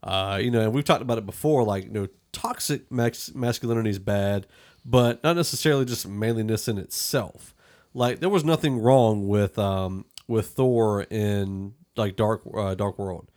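A man's voice: on the low side, moderate pace, a little mellow. Opinionated man hosting casual film talk. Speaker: podcast host. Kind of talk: casual film review discussion.

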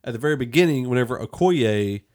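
A man hosting a podcast, casually discussing a film and thinking aloud in a halting way. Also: medium pitch, animated, darkish tone. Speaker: podcast host.

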